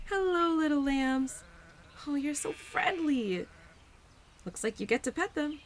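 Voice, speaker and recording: A woman voicing a cheerful, playful young spirit of Spring in an audio roleplay, gentle and affectionate with a giggly, easily flustered manner. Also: sits high, animated, unhurried, a little hiss or hum. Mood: delighted, affectionate. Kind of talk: audio roleplay addressed to the listener.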